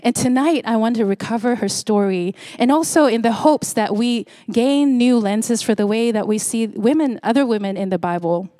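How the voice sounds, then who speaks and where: A woman's voice: on the high side, moderate pace, few pauses, warm tone, no echo, clean studio sound. Singapore-raised woman preaching conversationally. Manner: conversational preaching with fillers and restarts. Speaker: pastor. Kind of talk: sermon.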